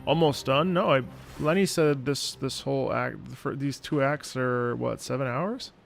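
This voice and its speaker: A man's voice: mid-range voice, a little slow, gravelly. A man streaming a game, chatting casually and thinking aloud as he answers viewers. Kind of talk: casual live stream commentary, reading chat. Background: faint game music.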